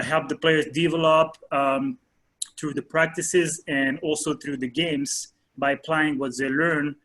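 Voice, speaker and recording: A man in his thirties from France, a soccer coach answering interview questions in a hesitant way with many pauses and fillers. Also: fairly high pitch, a bit bright.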